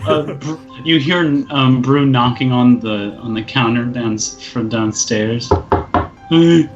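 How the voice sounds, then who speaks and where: Slow-talking man on a dull lo-fi recording. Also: mid-range voice, music in the background. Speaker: tabletop role-playing game player. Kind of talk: casual tabletop game talk and narration.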